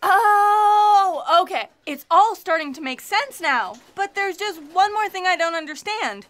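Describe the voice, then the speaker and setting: An earnest fact checker acted by a woman in a comic sci-fi audio play. Her voice is high, and she delivers everything in a fussy, literal, fretful way. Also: animated, measured pace, a bit bright, heavily acted. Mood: earnest, puzzled.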